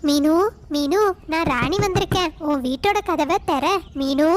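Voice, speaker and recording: Woman voicing a very high, bossy cartoon mother crow. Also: a little slow. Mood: insistent. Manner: pleading and scolding. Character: bossy, fretful mother crow, quick to complain. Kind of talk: animated moral-story dialogue.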